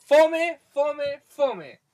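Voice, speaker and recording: A man with a Chilean accent and a quite high voice hosts a casual podcast. He talks loudly and teasingly, with crude slang. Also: lively intonation, very slow. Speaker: podcast host. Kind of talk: casual chat.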